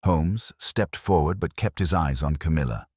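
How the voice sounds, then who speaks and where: A man's voice: very deep voice, animated, moderate pace, darkish tone, slightly rough, no echo, studio quality. A man reading a scripted mystery story as a dramatic narrator.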